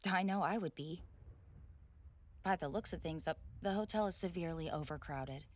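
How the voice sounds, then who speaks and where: A woman's voice: lowish voice, darkish tone, no echo, natural voice. A woman playing a spoiled rich college bully in a roleplay audio drama, indignant, snobbish and sweary, stammering when flustered. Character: haughty, spoiled rich college girl bully, hot-tempered tsundere. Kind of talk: scripted roleplay audio drama, one side of a dialogue.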